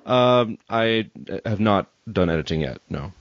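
A man with a fairly low voice interviews in a casual way. His intonation is animated, though his phrasing is hesitant. The sound is dry and a little dull. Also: measured pace, no room sound. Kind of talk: casual chat.

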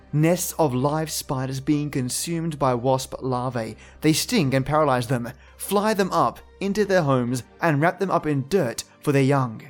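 Man, Australian accent, slightly high voice, relaxed reflective podcast chat, soft music underneath. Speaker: podcast host. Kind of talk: casual reflective commentary. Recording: faint music behind voice.

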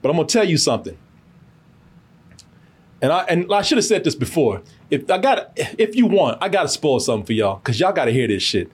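A man reviews a film casually as a podcast host, in a slightly high, husky voice. His pitch moves around a lot as he tells the story. He is emphatic, repeats himself and swears freely. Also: brisk. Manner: animated, emphatic, repetitive, cursing freely.

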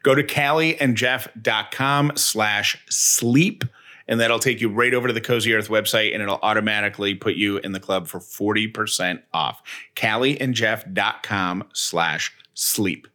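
A man's voice: fairly low pitch, moderate pace, sharp tone. A man co-hosting a podcast, giving conversational, folksy and enthusiastic sponsor reads. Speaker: podcast co-host reading sponsor ads. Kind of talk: conversational ad read.

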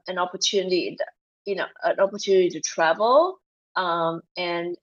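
A woman's voice: fairly low pitch, lo-fi sound. A woman from Malaysia, a guest in a casual interview, talking animatedly with many fillers and restarts.

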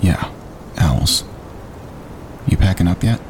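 An actor playing a weary, laconic male wildlife photographer in an audio drama, with a gravelly voice and low-key delivery. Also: expressive, slow. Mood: dry. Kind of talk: naturalistic audio drama acting. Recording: rumbling background noise.

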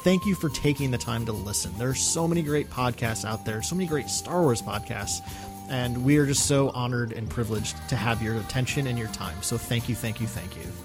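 A man co-hosting a fan podcast, speaking in an earnest, thankful, steady way. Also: mid-range voice, a little fast, music in the background. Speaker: podcast co-host. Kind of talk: casual podcast chat.

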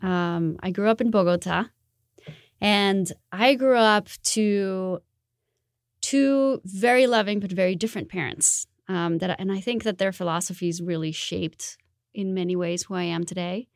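A woman's voice: medium pitch, unhurried, no room sound, studio quality. A woman in her thirties from Colombia, a designer answering interview questions in an earnest, reflective way. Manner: earnest and reflective, with occasional laughs.

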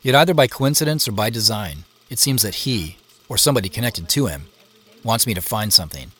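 A man's voice: on the low side, animated, a little fast, pushed and bright, breathy edge, exaggerated voice. An actor playing a reflective, curious American man in his forties, narrating a family mystery in scripted first person. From Seattle, United States. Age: 40s.

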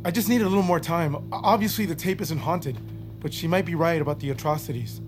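Man acting an uneasy army psychiatrist, higher-pitched voice. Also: music in the background, close to an everyday voice. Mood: earnest, defensive. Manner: measured, hesitant, reasoning. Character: cautious, conscientious male army psychiatrist, earnest and uneasy. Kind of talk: audio drama acting.

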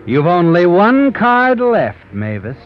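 An actor playing a taunting, all-knowing mystery narrator in a dramatic, insinuating 1940s radio style. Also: male voice, high-pitched, wildly swooping pitch, slow, dull audio, full character voice. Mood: ominous, taunting. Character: ominous, taunting omniscient male mystery narrator. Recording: band-limited 1940s radio with music.

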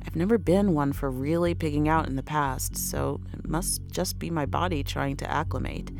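A woman's voice: low voice, moderate pace, vocal fry, darkish tone, soft background music. A woman playing an anxious, exhausted pregnant woman who narrates her own horror story in an intimate, weary manner. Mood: weary, uneasy. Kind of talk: first-person horror audio drama narration.

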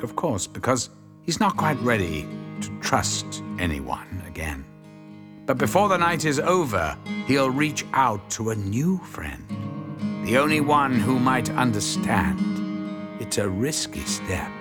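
Casting: male narrator, suspenseful and measured, wide intonation over music, dramatic story intro. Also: mid-range voice.

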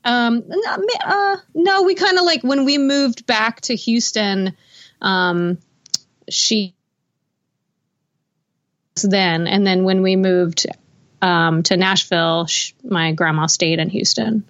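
A woman's voice: medium pitch, takes it slowly, long pauses, a bit bright, highs cut off. An American woman, a guest musician, talking casually and easily, with some fillers and laughter. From United States. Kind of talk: casual interview chat.

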